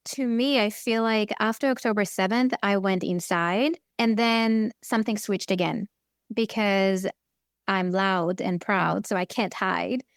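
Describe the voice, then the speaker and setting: Israeli-accented woman co-host, smooth and direct, dry close mic. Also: medium pitch, no room sound. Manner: direct, candid, emphatic. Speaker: podcast co-host, therapist. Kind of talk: conversational podcast chat.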